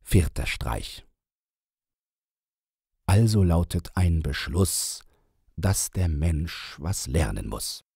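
A man reading a rhymed children's story aloud as an audiobook, in a deliberate, theatrical manner. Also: deep voice, sing-song, creaky, darkish tone, breathy edge, dry recording, clean studio sound. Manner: deliberate, theatrical, measured verse delivery. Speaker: audiobook reader.

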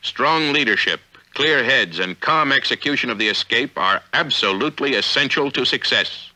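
An American man reading formal, measured, authoritative narration for a 1950s instructional film, on an old film soundtrack. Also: medium pitch, lively intonation, bright, forceful tone, rough recording. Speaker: narrator of a military training film.

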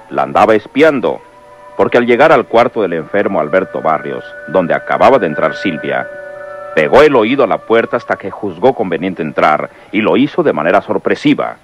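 Deep-voiced radio drama narrator. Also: male voice, very animated, fairly quick. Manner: storytelling. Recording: old radio recording with music.

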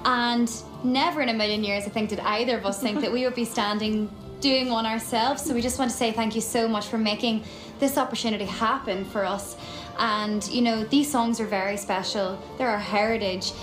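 A woman's voice: fairly high pitch, steady tone, normal speed, echoey room, over music. A woman singer giving effusive, emotional thanks in an interview.

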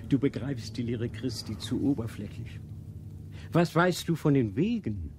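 An actor playing a stern, dogmatic inquisitor in a radio drama, measured and didactic, posing rhetorical questions. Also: male voice, medium pitch, a little mellow, slight background noise. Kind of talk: literary radio drama acting.

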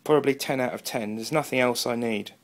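A man's voice: mid-range voice, fairly quick. Rambling Englishman, casual and self-deprecating. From England. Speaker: amateur radio hobbyist and video reviewer. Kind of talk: casual product review and radio chat. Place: indoors at a wooden table, off camera.

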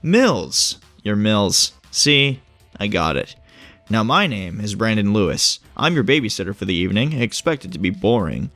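A man's voice: lowish voice, big pitch swings, normal speed, a bit bright, dry recording, faint music behind. An actor playing a bored, easygoing male minder with an offhand, rambling manner in a horror audio drama. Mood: breezy, bored. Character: bored, flippant male babysitter-bodyguard, easygoing oddball.

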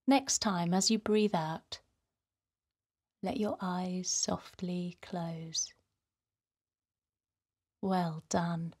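A woman hosting a guided meditation for children, speaking in a gentle, soothing, softly breathy way. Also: fairly low pitch, fairly even intonation, very slow, long pauses. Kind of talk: guided relaxation narration for children.

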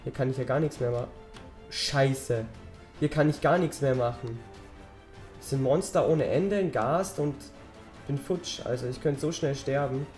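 A teenage boy with a slightly hoarse voice from a cold, chatting casually while playing a game. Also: medium pitch, a little slow, a little mellow, faint music behind. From German-speaking Europe. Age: teens. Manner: rambling, chatty, talks while gaming. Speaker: gaming channel host. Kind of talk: casual let's-play commentary.

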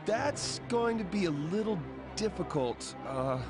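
An actor playing a nervous, evasive man who stammers and hesitates in an animated game cutscene. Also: sits high, a little slow. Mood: panicked, evasive. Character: nervous, evasive man cornered by his girlfriend. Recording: loud music under the dialogue.

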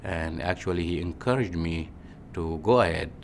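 A man in his forties speaks with an Egyptian accent in a very low, deep voice. He answers interview questions slowly and haltingly, often restarting and adding "you know." A faint hum of room noise sits behind him. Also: creaky. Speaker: physician.

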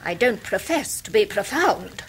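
A censorious, haughty spinster in a radio drama. Her voice is mid-pitched and severe. She is curt and self-righteous, with slight noise behind her. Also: very animated, measured pace. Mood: disapproving. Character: stern, haughty, censorious spinster sister-in-law. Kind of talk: 1990s radio drama acting.

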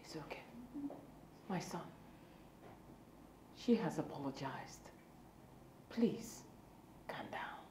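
An actress playing a gentle mother in a film drama, speaking softly to soothe. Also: low-pitched, lively intonation, slow pace, long pauses, reverberant, in a whisper. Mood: soothing. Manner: hushed, soothing. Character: gentle mother calming her angry son.

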